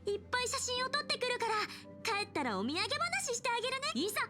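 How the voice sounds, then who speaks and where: Super high bubbly game girl, bouncy sing-song delivery, music loud behind. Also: big pitch swings, racing. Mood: chirpy. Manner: chirpy and playful. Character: bubbly upbeat young girl companion. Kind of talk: Japanese game voice acting.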